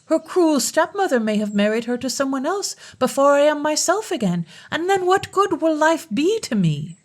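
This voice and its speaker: A woman's voice: on the low side, big pitch swings, moderate pace. A woman reading a storybook, voicing a young lovesick king in a pleading, declamatory way. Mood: lamenting.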